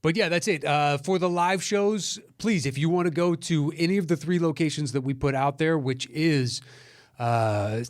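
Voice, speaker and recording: A man's voice: a little high, moderate pace, dry recording, studio quality. A man co-hosting a podcast, chatting casually in a rambling, sweary way. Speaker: podcast co-host.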